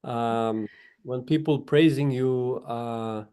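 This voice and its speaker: A man's voice: medium pitch, fairly even intonation, takes it slowly, background noise. A man from Moldova, a guest in a casual conversation, speaking hesitantly and searching for words.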